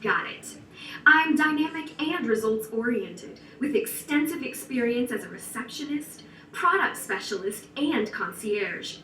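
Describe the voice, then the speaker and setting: A woman's voice: on the high side, animated, a little slow, bright, forceful tone, recorded from a distance, slight background noise. A woman playing a chirpy virtual receptionist. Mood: cheerful. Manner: chirpy, scripted sales patter. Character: upbeat polite young female virtual receptionist. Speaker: virtual assistant demo voice. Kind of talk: scripted promotional lines. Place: large showroom. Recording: played back in a roomy showroom, heard from afar.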